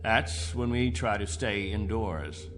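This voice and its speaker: An actor playing a proud middle-aged leader of space colonists in a radio drama, formal, insistent and commanding. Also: male voice, lowish voice, takes it slowly, music in the background. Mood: matter-of-fact.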